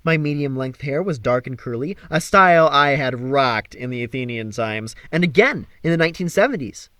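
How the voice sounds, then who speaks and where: A man reading a novel aloud as an audiobook narrator, with an expressive, dramatic delivery. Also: medium pitch, moderate pace, no echo. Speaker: amateur audiobook reader.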